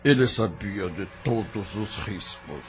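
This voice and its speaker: A man's voice: animated, takes it slowly. A man with a Brazilian Portuguese accent voicing a strange, grim man who speaks curtly in an audio drama. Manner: low, curt, grim. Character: strange, dirty grim older man, blunt.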